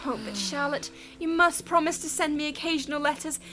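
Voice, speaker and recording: Woman as gushing upper-class sister, high pitch, sharp bright tone, sugary, period radio drama. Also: lively intonation, a little fast. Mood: sugary, breezy. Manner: sugary, effusive.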